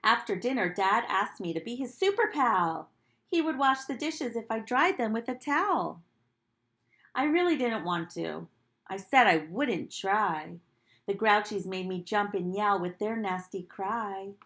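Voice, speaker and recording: A woman reads a children's picture book at a slow, careful pace. Her delivery is animated and teacherly, made for small listeners, and her voice sits at a medium pitch. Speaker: nursery school teacher reading aloud. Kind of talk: expressive picture-book read-aloud for young children, with asides.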